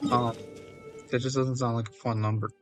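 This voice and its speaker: A man's voice: medium pitch, little pitch movement, moderate pace, faint music behind. A man giving casual, rambling, self-deprecating commentary on a livestreamed game. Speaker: streamer.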